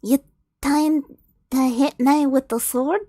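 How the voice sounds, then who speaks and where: A woman's voice: sits high, fairly even intonation, slow, mellow, dry recording, studio quality. A woman streamer reading fanfiction aloud, giggling and stumbling over the words as she goes.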